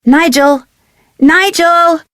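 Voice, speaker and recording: A woman's voice: very high voice, extremely slow, fairly bright tone, dry recording, full character voice. A woman playing a curious, polite young detective with an American accent in a video game. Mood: friendly, curious. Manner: inquisitive, clearly enunciated. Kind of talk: scripted video game dialogue.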